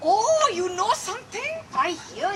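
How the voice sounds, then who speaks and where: A woman's voice: very high-pitched, very animated, takes it slowly. A woman performing a comedic dramatic reading, theatrical and gushing. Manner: theatrical, gushing, sing-song delivery. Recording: noisy live room recording.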